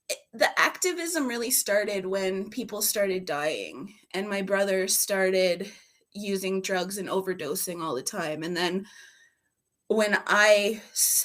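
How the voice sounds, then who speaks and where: Female panelist, mid-pitched, informal and reflective, halting personal testimony. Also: a bit bright. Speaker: panelist and drug-user advocate.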